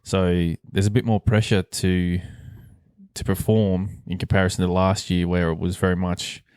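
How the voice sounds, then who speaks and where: Deep-voiced man hosting casual sports chat. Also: little pitch movement, moderate pace, darkish tone, clean tone, no echo, clean studio sound. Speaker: podcast host.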